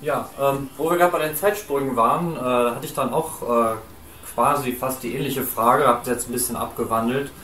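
An interviewer asking long, halting questions full of fillers and restarts. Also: mid-range voice, steady tone, fairly bright tone, echoey room, recorded from a distance, faint music behind. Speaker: podcast interviewer.

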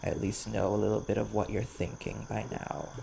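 Guy doing a wary desperate vampire for audio rp, super gravelly, blunt, crickets and noise behind him. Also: fairly low pitch, flat delivery, brisk, mellow, poor audio. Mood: wary, resolute. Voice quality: gravelly, creaky. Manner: guarded, blunt, earnest. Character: wary, desperate male vampire bounty hunter, sharp-tongued. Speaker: audio roleplay voice actor. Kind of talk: ASMR audio roleplay acting. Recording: clear background noise, chirping crickets.

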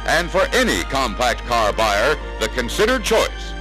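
A man's voice: medium pitch, very animated, unhurried, over music. An American man reading scripted 1960s sales-film narration in a polished, emphatic announcer manner, on a vintage record.

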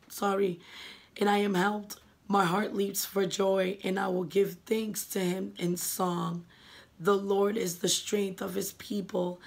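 Raspy-voiced young woman with a cold. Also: mid-range voice. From New England. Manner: earnest, emotional, gestures a lot with her hands. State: hoarse from a cold. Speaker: vlogger. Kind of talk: personal faith vlog. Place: living room, indoors.

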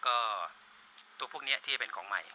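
A man talks quickly in an informal interview, explaining as he goes. His voice is mid-pitched and very sharp, picked up by a handheld mic in a small office. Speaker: radio drama producer being interviewed. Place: small studio office, indoors. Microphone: handheld reporter microphone. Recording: noisy room, handheld interview microphone.

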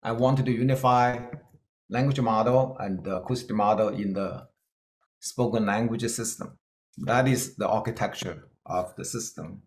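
A middle-aged man from China with a Chinese-Scottish accent, giving an earnest keynote talk with slides and pausing on fillers. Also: on the low side, roomy sound. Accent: Chinese-Scottish English. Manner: earnest, plain-spoken, with hesitant fillers. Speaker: keynote speaker.

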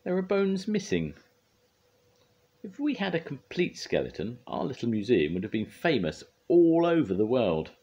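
Man playing an excitable museum scientist, high-pitched. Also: moderate pace, slightly rough, lo-fi sound, heavily acted. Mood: puzzled. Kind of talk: children's storybook reading.

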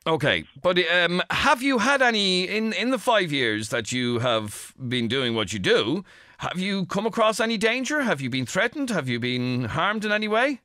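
Middle-aged Irish male talk-radio host; crisp bright tone, animated pitch, halting probing questions. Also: no echo, clean studio sound. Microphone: studio microphone.

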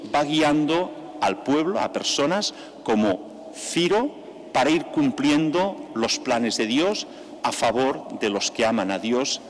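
An older man, a priest, leading mass with a slow, measured liturgical delivery into a stand microphone in a large church. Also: medium pitch. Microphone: stand mic at the altar. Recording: long church reverb, faint background noise.

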